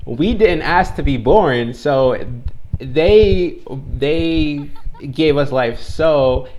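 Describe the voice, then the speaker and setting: A man's voice: fairly high pitch, drawn-out words, slight background noise, poor audio. A man in his twenties tutoring English in a casual online conversation, talking loosely with lots of "like" and "you know". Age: 20s. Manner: relaxed, heavy use of "like" and "you know", frequent restarts.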